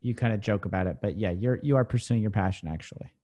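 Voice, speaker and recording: A man's voice: on the low side, fast talker, dark tone. A man hosting a conversational interview, speaking informally as he sums up and probes with questions. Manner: informal, summing up and probing. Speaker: interviewer, channel host.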